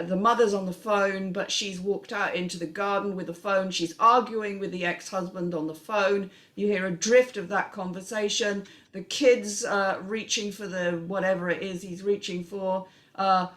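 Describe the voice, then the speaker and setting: A woman hosting a casual panel discussion, with an animated storytelling manner. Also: mid-range voice. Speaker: panel host.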